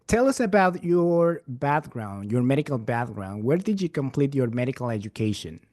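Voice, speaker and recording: A man interviewing a guest over what sounds like a video call. He heaps on praise and echoes his own phrases. The sound is dry and close, with an ordinary middle pitch. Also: sing-song, moderate pace, a little mellow. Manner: effusive, repeats praise. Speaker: interviewer, program ambassador. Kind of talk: scripted-sounding interview hosting.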